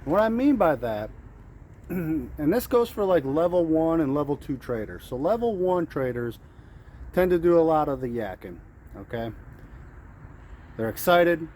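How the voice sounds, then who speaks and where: Higher-voiced man talking over outdoor noise. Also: sing-song, measured pace, long pauses, darkish tone. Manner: acts out traders' thoughts, repeats phrases for emphasis. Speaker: day trader giving trading tips. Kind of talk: informal off-the-cuff motivational trading talk. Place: outdoors in the heat.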